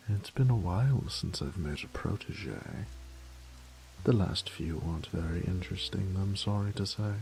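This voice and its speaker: A man playing a cruel, gloating vampire lord in a horror audio roleplay, theatrical and menacing, often slipping into breathy whispers. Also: deep voice, darkish tone, full character voice, low-quality recording. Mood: wistful, smug. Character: cruel, gloating male vampire lord savoring his human prey.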